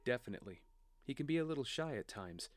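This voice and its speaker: A man's voice: mid-range voice, very animated, fast, no echo, studio quality, soft background music, lightly acted. An actor playing an earnest family man and father in an audio drama, with a conversational manner. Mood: subdued, reflective.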